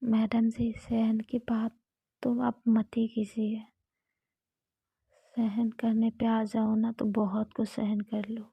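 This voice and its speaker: Lady whispering as a teasing young girlfriend, raspy low breath, flat and slow, dull lo-fi sound. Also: no room sound, heavily acted. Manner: whispered throughout. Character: young woman lover, teasing and playful.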